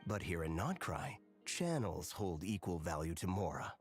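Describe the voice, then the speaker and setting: A polite, formal banquet doorman in a video game, speaking courteously and deflecting requests gently. His voice is low but moves with lively swings in pitch. Faint game music sits behind him. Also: normal speed, husky, exaggerated voice.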